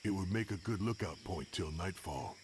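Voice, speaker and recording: An actor voicing a brooding, hot-tempered orc warrior in a video game, speaking bluntly and cynically. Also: male voice, sits low, expressive, moderate pace, noisy background. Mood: gruff, dutiful. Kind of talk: video game cutscene acting. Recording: loud outdoor ambience with insects.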